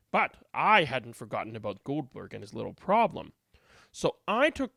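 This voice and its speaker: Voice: mid-range voice, fairly bright tone, dry recording, studio quality. A reader giving a theatrical, emphatic read-aloud of a storybook. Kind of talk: animated read-aloud narration.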